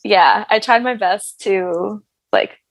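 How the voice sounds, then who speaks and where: An American woman telling stories in a casual interview, animated and self-deprecating in her humour. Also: mid-range voice, takes it slowly, no room sound. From United States. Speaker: guest, actress and singer.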